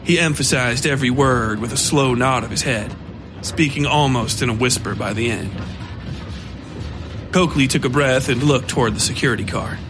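A man giving scripted narration of a dark horror story. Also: mid-range voice, normal speed, music in the background.

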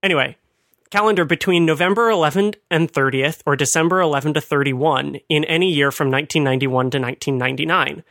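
A man's voice: fairly high pitch, fast, a bit bright, no room sound. A man co-hosting a conversational talk, explaining things with dry amusement. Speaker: podcast host. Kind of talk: conversational retro computing commentary.

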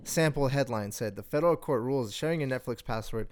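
A man's voice: medium pitch, fast, a little mellow. A young man co-hosting a podcast, explaining things informally in a hesitant, filler-heavy way. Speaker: podcast co-host. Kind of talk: casual chat, informal explaining.

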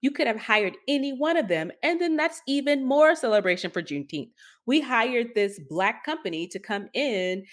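A woman's voice: a little high, animated, moderate pace. A woman co-hosting a podcast in casual conversation, speaking informally and stressing her points by repetition. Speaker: podcast co-host.

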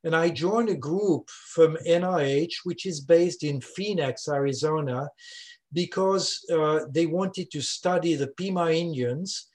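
Older swiss french guy, bit high voice, explains stuff with lots of uhs. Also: measured pace, darkish tone. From Switzerland. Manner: explanatory, frequent "uh" fillers. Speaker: research scientist guest. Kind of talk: conversational interview.

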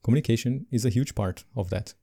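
Male podcast co-host, non-native English, mellow tone, animated delivery. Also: mid-range voice, fast talker, gravelly. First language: not English. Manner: animated, laughs between points. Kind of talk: casual podcast chat.

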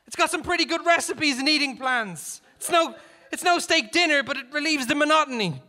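A man's voice: high-pitched, very animated, drawn-out words, bright, forceful tone, breathy edge, voice pushed up, full character voice. A man playing a weary, earnest police negotiator in a comic short story, pleading and calling out across a distance.

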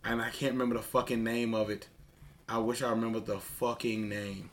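Casual podcast talker: man, early 30s, average pitch, rambling and sweary. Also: little pitch movement, a little fast, a little hiss or hum. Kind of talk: casual chat.

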